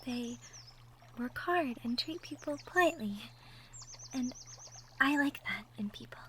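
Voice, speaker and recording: A woman playing a shy, sweet young cottagecore woman in love, speaking softly and hesitantly in an intimate roleplay audio drama. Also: sits high, lively intonation, measured pace. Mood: flustered, bashful. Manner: hesitant, bashful, soft-spoken, trailing off. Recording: faint background noise, birdsong effects. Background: birdsong, outdoor nature sound effects.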